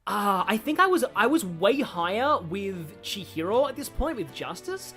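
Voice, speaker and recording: A woman streams with very lively, swinging intonation at a middling pitch. She rambles casually and wryly as she comments, with game music playing behind her. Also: normal speed. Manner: rambling, chatty, wry.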